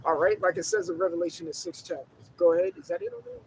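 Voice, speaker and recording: A man's voice: high voice, huge pitch swings, very fast, gravelly, a crowd in the background, poor audio. A man preaching in the street, emphatic, directing a reader with go-ahead calls. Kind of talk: street sermon. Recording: outdoor traffic noise.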